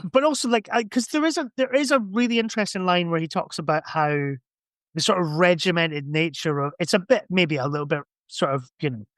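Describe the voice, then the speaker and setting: A man's voice: high voice, brisk, a bit bright, no echo, clean studio sound. A man chatting casually in a rambling, hedging way, as a guest on a podcast. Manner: hedging, rambling, full of kinda and sort of. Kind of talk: casual chat about science fiction books.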